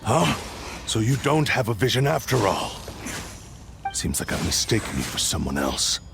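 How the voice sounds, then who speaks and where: A man's voice: on the low side, big pitch swings, normal speed, gravelly, music in the background. A man voicing a brusque, scornful young swordsman in a video game, curt and dismissive. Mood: probing.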